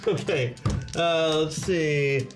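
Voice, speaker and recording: A man with a slightly raised voice plays his turn in a tabletop game, joking and teasing the others and laughing often. Music plays clearly behind him. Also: a little high, takes it slowly. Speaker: player. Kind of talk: casual play chat.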